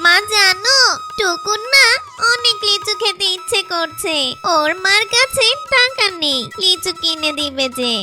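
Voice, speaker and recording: A woman's voice: very high voice, lively intonation, a little slow, music in the background. A woman voicing a kind little girl in a cartoon, friendly and coaxing.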